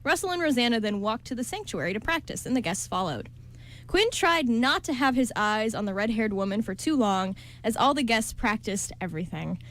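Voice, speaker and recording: A woman with a slightly high voice reads scripted narration. She serves as the narrator of a radio drama. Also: animated, brisk, a bit bright.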